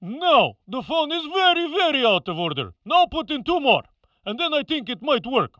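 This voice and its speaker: An insistent, slightly sly payphone voice in an audio story, done by a man. It is very high and swoops about, in dull lo-fi sound. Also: very animated, normal speed, a bit bright, dry recording, full character voice.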